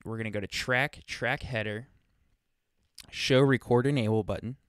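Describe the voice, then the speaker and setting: A man presenting a casual software walkthrough, chatting informally and thinking aloud as he demonstrates, speaking into a close USB microphone. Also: medium pitch, steady tone, normal speed, clear, resonant tone, no room sound. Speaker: tutorial presenter.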